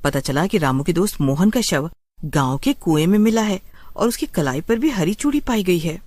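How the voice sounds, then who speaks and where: A woman's voice: on the low side, lively intonation, normal speed, darkish tone, dry recording, clean studio sound. A woman narrating a scripted horror story in a dramatic storytelling style.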